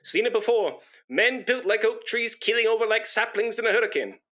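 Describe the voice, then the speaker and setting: A pompous, nervous chatterbox of a British man , played by a male actor in a comic radio play. His voice is bright and forceful, on the high side, and very animated, rambling self-importantly and stammering when flustered. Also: a little fast. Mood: chatty, flustered. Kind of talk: comic radio play acting before an audience.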